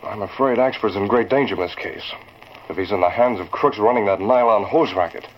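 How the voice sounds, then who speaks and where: An actor playing a grave, businesslike newspaper boss in a 1940s radio drama. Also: male voice, fairly low pitch, fairly quick, lightly acted. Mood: serious. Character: serious, decisive male newspaper boss. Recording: dull vintage radio recording.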